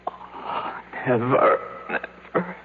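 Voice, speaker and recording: An actor playing an anxious man groping for his lover in the dark, urgent and breathy, in a 1970s radio drama. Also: drawn-out words, over loud music. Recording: tinny old radio recording, faint noise.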